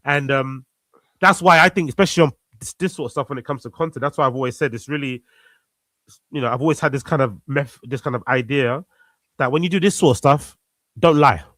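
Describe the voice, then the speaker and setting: A man's voice: mid-range voice, brisk, fairly bright tone, dry recording. A man in a casual conversation, earnest and reflective, repeating phrases for emphasis. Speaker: podcast guest.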